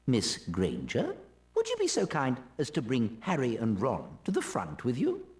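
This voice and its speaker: A man's voice: medium pitch, huge pitch swings, moderate pace, darkish tone. A man voicing a fussy, formal male wizard professor, speaking in a prim, lilting way in a game cutscene. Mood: pleased. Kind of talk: video game cutscene acting.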